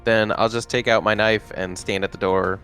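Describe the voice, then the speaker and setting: A man chats casually as a player in a tabletop roleplaying session. His voice sits a little low. There is quiet music behind him. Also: steady tone, moderate pace. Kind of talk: casual game-table chat.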